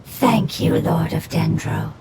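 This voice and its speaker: A woman voicing a solemn, compassionate elemental spirit in a video game, measured and formal in delivery. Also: deep voice, creaky, very noisy, heavily acted. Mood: solemn, grateful. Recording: loud music underneath.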